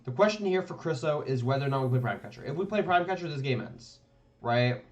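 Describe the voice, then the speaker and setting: A man's voice: mid-range voice, expressive, quick pace. A man live-streaming his commentary on tournament match footage, rambling and thinking aloud, often tagging his remarks with "right?". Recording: dull lo-fi stream audio.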